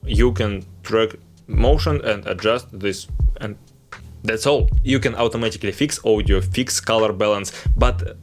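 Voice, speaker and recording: A man's voice: on the low side, a little slow, soft background music. A young man whose first language is Russian, speaking English with a Russian accent, ranting in a frustrated, opinionated tech vlog full of fillers and short laughs. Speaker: video editing YouTuber.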